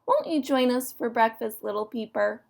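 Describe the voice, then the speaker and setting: A woman's voice: fairly high pitch, animated, moderate pace, vocal fry. A woman voicing a bold, bossy possum who speaks emphatically in a children's storybook. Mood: friendly, pleased. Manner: emphatic, demanding, proclaiming. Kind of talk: children's storybook read-aloud.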